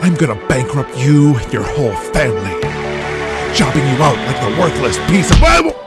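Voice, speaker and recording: A man's voice: mid-range voice, sing-song, normal speed, voice pushed up, natural voice. A man playing a furious, domineering wrestling boss who scolds and threatens with profanity in an audio drama. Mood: furious, threatening. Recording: loud music behind.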